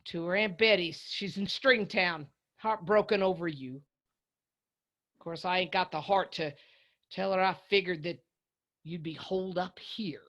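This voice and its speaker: A stern mama in a radio play, middle-aged, takes her time, sharp forceful voice. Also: on the low side, measured pace. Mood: reproachful. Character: stern, plain-spoken mountain mother, middle-aged, scolding but caring. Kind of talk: radio drama acting.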